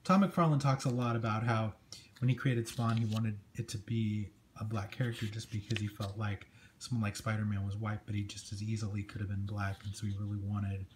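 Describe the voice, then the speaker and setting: Man reviewing comics over clear background noise. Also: on the low side. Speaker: comic book show host. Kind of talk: casual comic review and read-along. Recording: clearly noisy amateur recording with room ambience.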